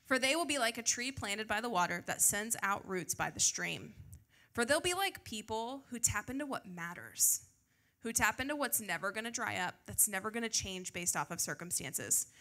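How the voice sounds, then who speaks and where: Bright-voiced American woman preaching casually. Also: mid-range voice, expressive, moderate pace. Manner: informal, self-deprecating, asks the audience rhetorical questions. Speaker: pastor preaching to a youth group. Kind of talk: conversational sermon with scripture reading. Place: church stage before a seated audience. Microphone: handheld microphone.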